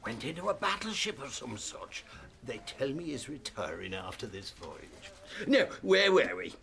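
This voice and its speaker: Chatty port official, mid-pitched. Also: male voice, very animated, unhurried, creaky, a bit bright, full character voice. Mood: chatty, curious. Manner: officious but gossipy. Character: chatty, nosy Irish port immigration officer. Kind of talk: radio drama acting.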